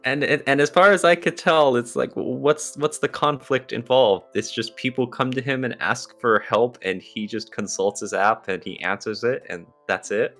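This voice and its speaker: A man's voice: medium pitch, normal speed, few pauses, a bit bright, faint music behind. A man co-hosting a podcast, reacting and asking questions in casual interview chat. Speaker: podcast co-host.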